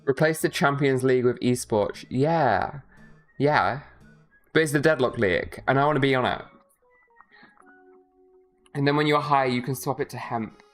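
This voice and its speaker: A man's voice: mid-range voice, normal speed, long pauses, soft background music. Tired, rambling streamer guy. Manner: rambling, joking, loose with filler words. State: tired from poor sleep. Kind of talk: casual livestream chat.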